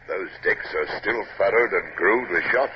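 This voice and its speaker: An actor playing a loyal, plain-spoken first officer who speaks deferentially in a 1950s radio drama. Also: male voice, deep voice, moderate pace, lightly acted. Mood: dutiful report. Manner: respectful, matter-of-fact. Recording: muffled, hissy old radio recording.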